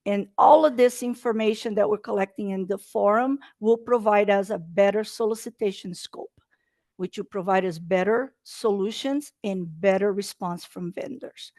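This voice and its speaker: A woman's voice: mid-range voice, moderate pace, a little mellow, no room sound, studio quality. A woman from Brazil with a Brazilian Portuguese accent, presenting a webinar in earnest, slightly non-native English. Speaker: state procurement presenter.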